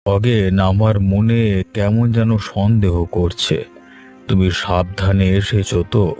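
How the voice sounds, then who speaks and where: A man playing a crooked, wary village headman with a deliberate, conspiratorial manner in a cartoon. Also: on the low side, level tone, dark tone, faint music behind. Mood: suspicious. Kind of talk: cartoon voice acting.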